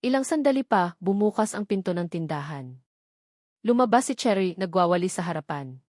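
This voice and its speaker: A woman's voice: lowish voice, lively intonation, fairly quick, darkish tone, no room sound. Woman narrating a story, dry close sound. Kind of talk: scripted dramatic story narration.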